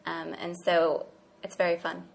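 A young woman from Oklahoma talking casually about university life, pausing often with ums. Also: fairly low pitch, monotone, vocal fry, rough recording. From Oklahoma, United States. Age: young adult. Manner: hesitant, many ums. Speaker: university graduate. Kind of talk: casual chat.

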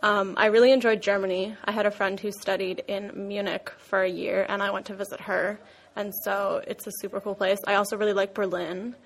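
Woman from near boston, pretty flat tone, casual chat. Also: mid-range voice, fairly quick. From near Boston, USA. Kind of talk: self-introduction.

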